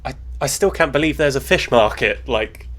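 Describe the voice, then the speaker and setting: A man's voice: mid-range voice, expressive, normal speed, fairly bright tone. A man in his mid twenties with a British accent, bantering and joking on a casual livestream. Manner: joking, sweary. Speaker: co-host of a lucid dreaming stream.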